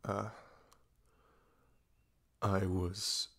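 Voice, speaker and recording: A man playing a depressed, hesitant boyfriend in an intimate ASMR roleplay, speaking haltingly and trailing off. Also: sits low, level tone, creaky, fairly bright tone, exaggerated voice. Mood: sad, confiding. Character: depressed, hesitant boyfriend opening up to his partner.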